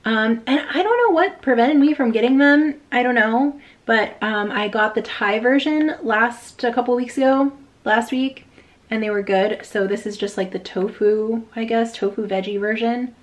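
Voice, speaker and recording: A woman's voice: on the high side, normal speed. A woman chatting informally in a casual vlog style, rambling and thinking aloud as she goes. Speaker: vlogger; dog walker.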